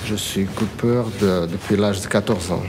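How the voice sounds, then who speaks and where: A man from Portugal who works as a leather cutter, speaking in a documentary interview. He speaks in a reflective, measured way. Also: low-pitched. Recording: noisy background.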